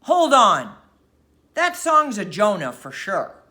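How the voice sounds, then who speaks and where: A woman voicing a gruff, skeptical sailor man in a storybook, speaking bluntly and emphatically. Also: mid-range voice, huge pitch swings, slow, pushed and bright, full character voice. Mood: roaring. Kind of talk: storybook character voice.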